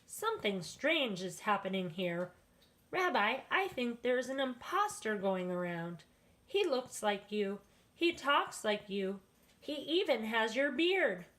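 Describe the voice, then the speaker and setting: A woman voicing a kindly old grandmother in a children's story, fond and gently scolding. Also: fairly high pitch, big pitch swings, slow pace, exaggerated voice. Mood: welcoming, puzzled. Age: older. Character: kindly, hospitable old grandmother, chuckling and fussing. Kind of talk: children's storybook read-aloud.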